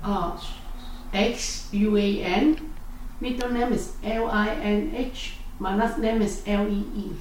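A woman, answering interview questions in halting speech full of fillers and self-corrections. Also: medium pitch, fairly even intonation, a little mellow. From South Vietnam. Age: 60s. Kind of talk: oral history interview. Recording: echoey room, distant mic, faint noise.

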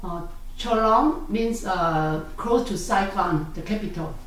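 A woman, answering interview questions in halting speech full of fillers and self-corrections. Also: medium pitch. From South Vietnam. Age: 60s. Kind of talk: oral history interview. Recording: echoey room, distant mic, faint noise.

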